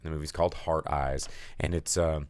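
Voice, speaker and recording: A hoarse man co-hosting a movie podcast, talking casually about new releases with lots of fillers and animated asides. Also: rumbling low, brisk, no echo, clean studio sound. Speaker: podcast co-host. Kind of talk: casual movie news chat.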